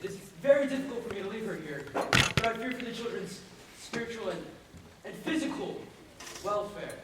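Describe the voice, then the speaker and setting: A man plays a pious, stern reverend in a stage drama, stiff and formal, pitched high for a man. The voice is distant in a strongly echoing hall. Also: animated, moderate pace. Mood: anxious, formal. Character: stern pious reverend husband committing his wife. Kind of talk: stage play acting. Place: auditorium stage, recorded from far off. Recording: very echoey stage, distant, clear hiss.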